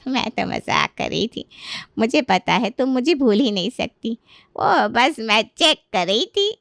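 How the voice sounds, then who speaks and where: A teasing older auntie played by a woman in an audio story. The voice is fairly high, with a dry sound. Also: big pitch swings, measured pace, creaky, fairly bright tone, no echo, full character voice. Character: teasing older aunt, sister-in-law of the matriarch.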